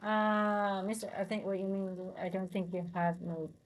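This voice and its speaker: A woman's voice: medium pitch, fairly even intonation, normal speed. A woman hosting a live trading stream, giving casual commentary to her chat, thinking aloud tentatively and often trailing off mid-sentence. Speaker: livestream host, futures trader and coach.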